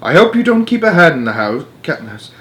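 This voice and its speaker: An old storybook grandmother, voiced by a man reading aloud. She is unruffled and quietly sly, stating things as plain fact with a lively rise and fall. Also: on the high side, fast, pitched above the performer's own voice. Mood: firm. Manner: calm, unruffled, matter-of-fact.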